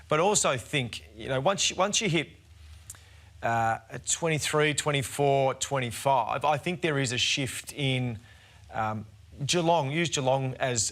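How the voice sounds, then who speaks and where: A man's voice: medium pitch, fairly bright tone. An Australian man talking casually as a sports panellist, informal and a little hesitant as he works through his thoughts. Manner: hesitant, informal, self-deprecating. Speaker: panellist, former player.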